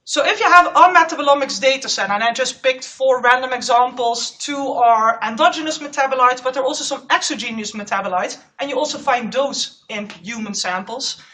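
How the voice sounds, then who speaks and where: Woman researcher, loud chatty conference talk, high bright voice, slightly distant room recording. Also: fairly quick, few pauses. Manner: loud, chatty, self-aware. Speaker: postdoc researcher presenting. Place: lecture room. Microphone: clip-on microphone.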